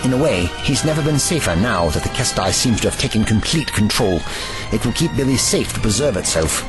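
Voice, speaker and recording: A man's voice: lowish voice, sing-song, brisk, music in the background. A man playing a grave, erudite ancient time traveller in an audio drama, with formal, slightly pompous phrasing.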